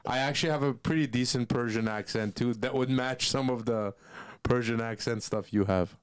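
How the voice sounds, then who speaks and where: A man's voice: medium pitch, moderate pace, smooth, dry recording. A man co-hosting a livestream, joking and teasing in casual chat. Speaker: co-host.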